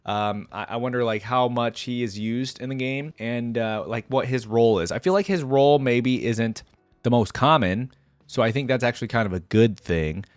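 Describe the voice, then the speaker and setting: A man's voice: medium pitch, moderate pace, dry recording. A man hosting a casual reaction video, chatting to viewers in a rambling, conversational way. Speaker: YouTube reaction host. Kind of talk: casual reaction commentary to camera.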